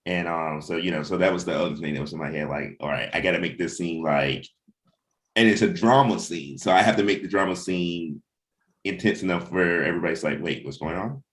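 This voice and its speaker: Very deep-voiced man talking casually. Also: fairly quick, fairly bright tone. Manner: rambling, repeats "you know". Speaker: comic book writer hosting a table read discussion. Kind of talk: casual creative discussion.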